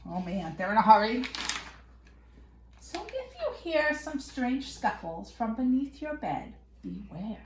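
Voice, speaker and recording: A woman reading a picture book aloud to young children in an expressive, playful way with dramatic emphasis. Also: on the high side, a little slow, background noise. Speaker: children's storyteller. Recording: echoey room.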